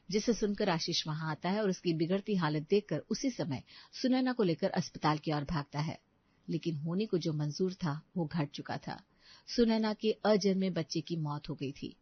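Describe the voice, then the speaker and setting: A woman's voice: on the low side, slightly rough, no room sound, muffled recording. A woman storyteller giving a scripted, dramatic narration of a family tale.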